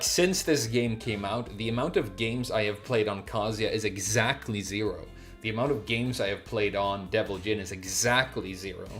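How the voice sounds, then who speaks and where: Low-voiced male streamer, halting and off-the-cuff. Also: fairly bright tone. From Sweden. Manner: halting, searching for words with repeated false starts. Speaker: live streamer. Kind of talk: casual unscripted livestream chat and game commentary.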